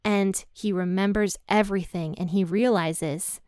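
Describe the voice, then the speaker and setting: A woman's voice: mid-range voice, steady tone, measured pace, a little mellow, clear, resonant tone, no echo, studio quality. A woman hosting a casual Bible study podcast, chatty and conversational, often laughing lightly between thoughts. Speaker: podcast host teaching the Bible.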